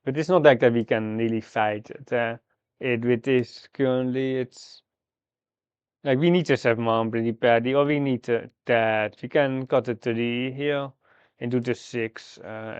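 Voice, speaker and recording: A man live-streaming a game, thinking aloud through his moves in a halting, fragmented way. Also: mid-range voice, steady tone, smooth, dry recording, highs cut off. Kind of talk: live mahjong gameplay commentary, thinking aloud.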